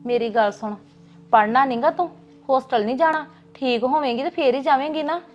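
A woman's voice: high-pitched, brisk, muffled recording, close to an everyday voice. An actress playing a patient aunt who coaxes her niece in a motherly way. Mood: coaxing. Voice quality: coaxing, motherly. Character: patient coaxing female aunt of the family. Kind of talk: family drama acting.

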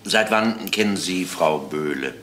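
An actor playing a courteous, matter-of-fact police inspector in a crime drama, asking probing questions in a businesslike way. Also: male voice, deep voice, expressive, unhurried, bright, forceful tone, heavily acted. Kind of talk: German crime drama acting. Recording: echoey room, clearly noisy old soundtrack.

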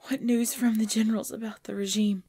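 A woman playing a proud, pregnant vampire leader in pain, speaking formally and dramatically in an ASMR roleplay with breathy drops toward a whisper. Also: on the high side, little pitch movement, dark tone. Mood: grave, urgent. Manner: slow, formal, dramatic, often dropping to whispers.

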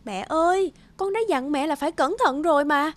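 A woman's voice: sits high, normal speed, dry recording, clean studio sound, natural voice. A woman voicing an anxious young daughter who minds manners in a cartoon. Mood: reproachful. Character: anxious young daughter minding her mother's table manners. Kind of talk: animated cartoon dubbing. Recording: music behind the voice.

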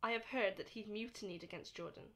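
A woman's voice: mid-range voice, quick pace, a bit bright, lightly acted. A woman acting a bold, impetuous young man in a radio drama, challenging and dramatic. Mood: questioning.

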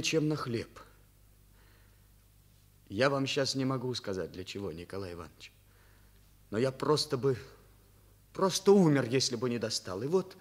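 An actor playing a distraught, ashamed schoolboy in a halting, emphatic way, in a Soviet radio drama. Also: male voice, mid-range voice. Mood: anguished confessing. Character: distraught, ashamed schoolboy confessing to theft accomplice role.

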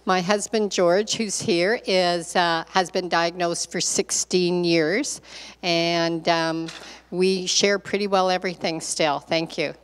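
A woman's voice: fairly low pitch, steady tone, slow pace, smooth. Older woman with a handheld mic in a reverberant hall. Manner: earnest, encouraging, repeats key points. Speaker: care partner panelist. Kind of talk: panel talk, advice to audience. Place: large meeting hall. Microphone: handheld microphone.

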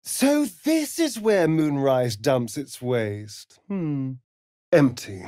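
An actor playing a sly, vain vampire rogue in a video game, with a silky, theatrical, mocking drawl. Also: male voice, a little high, sing-song, drawn-out words, warm tone, no room sound, clean studio sound, full character voice. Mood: teasing, disdainful. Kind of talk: video game character voice lines.

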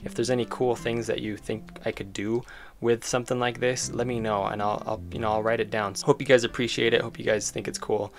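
A man's voice: medium pitch, little pitch movement, fairly quick, hardly any pauses. A man giving casual, informal demo commentary as a game developer, enthusiastic and thinking aloud as he goes. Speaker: game developer presenting own project. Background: music behind the voice.

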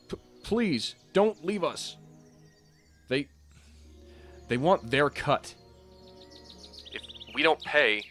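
A man's voice: fairly high pitch, fairly bright tone. An actor playing a pleading, wheedling male smuggler sidekick in a comic dub.

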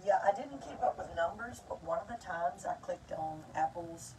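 This is a woman in her sixties, chatting cheerfully with quick, lively replies. She sits inside a tour bus, some distance from the phone. A light noise runs under her voice. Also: lowish voice. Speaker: singer. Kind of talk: casual chat. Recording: off-mic, slightly noisy.

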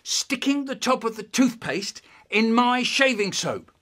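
A man's voice: extremely high pitch, animated, unhurried, fairly bright tone, voice pushed up, exaggerated voice. A man with an English accent voicing an exasperated father who questions sharply and accusingly in a story.

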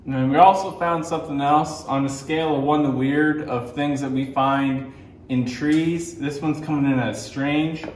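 A man's voice: fairly high pitch, steady tone, measured pace, echoey room, far from the mic, slight background noise. A man in his thirties joking drily during a workshop vlog, recorded in a large indoor sawmill shop on a camera mic a few meters off. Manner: dry, joking. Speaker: sawmill channel co-host.

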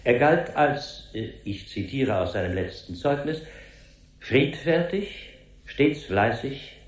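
An actor playing a solemn Secretary-General giving a measured official briefing in a radio drama. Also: male voice, mid-range voice, big pitch swings, reverberant. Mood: grave, official. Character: solemn male Secretary-General reporting gravely on a staff file.

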